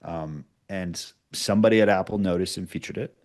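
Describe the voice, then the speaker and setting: Deep-voiced man explaining things casually. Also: a little fast, a little mellow. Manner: explanatory, peppers speech with checking tags like "right?". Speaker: podcast producer, interview guest. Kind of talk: casual interview answers.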